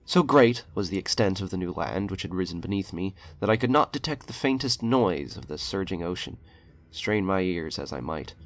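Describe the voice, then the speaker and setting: A man reading a horror short story aloud in a slow, grave, brooding way. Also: sits low, lively intonation. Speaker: narrator.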